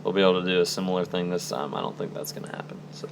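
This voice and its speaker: A man's voice: deep voice, steady tone, rapid-fire, gravelly, a little hiss or hum. A young man chatting casually about sports as a fan and podcast guest.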